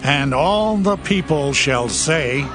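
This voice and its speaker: A man delivers scripted scripture narration. His voice sits high for a male reader, and loud music plays behind it. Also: animated, takes it slowly.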